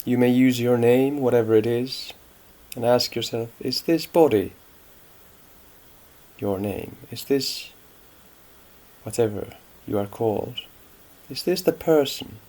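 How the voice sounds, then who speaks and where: A man guiding a meditation in a calm, unhurried manner, leaving long pauses between phrases. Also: medium pitch. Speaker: meditation guide. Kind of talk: guided meditation, unscripted instruction.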